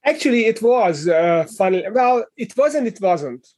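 A man's voice: sits high, very animated, moderate pace, a little mellow. A middle-aged man with a Hungarian accent, a guest answering interview questions in a thoughtful way with many fillers and restarts. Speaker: guest, leadership coach and author.

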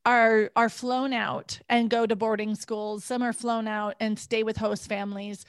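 Woman podcast host, engaged interviewer; slightly high pitch, dry close recording. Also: little pitch movement, measured pace, clean tone, no room sound. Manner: engaged, frequent short backchannels and clarifying questions. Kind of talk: interviewing a guest.

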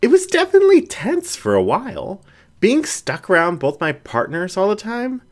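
A shy young raccoon woman, voiced by a male narrator, speaking high and lifted and tripping over her words. She is flustered and open at once, in a storybook reading. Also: huge pitch swings, normal speed. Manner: hesitant, shy, trails off. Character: bashful, blushing young female raccoon.

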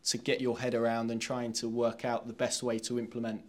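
A man's voice: medium pitch, monotone, fairly quick. A man asking long, conversational questions as a sports interviewer. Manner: long, wordy questions with repeated words.

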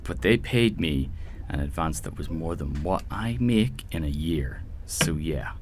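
A man playing a nervous, hapless hired pawn held prisoner in an audio drama, speaking haltingly and with resignation. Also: a bass voice, animated, a little mellow, slight background noise. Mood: resigned, hesitant. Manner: hesitant, halting.

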